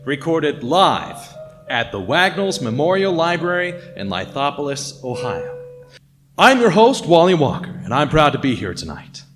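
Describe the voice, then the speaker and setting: A man's voice: a little high, big pitch swings, a little slow. A man hosting live radio theater, announcing the show and cast in a theatrical style. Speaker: host and announcer. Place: library venue with audience. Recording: live stage, loud music and crowd.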